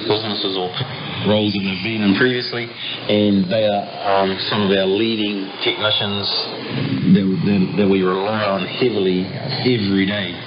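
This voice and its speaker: A man speaking haltingly and off the cuff as a business owner in a news interview. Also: lowish voice, flat delivery. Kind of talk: halting spontaneous interview talk. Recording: muffled shortwave radio, loud static.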